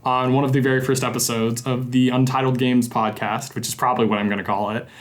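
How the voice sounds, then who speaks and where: A man's voice: medium pitch, little pitch movement, quick pace. Chatty young man hosting a podcast. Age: young adult. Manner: upbeat, chatty, self-deprecating. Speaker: podcast host. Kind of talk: casual podcast chat. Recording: echoey room recording.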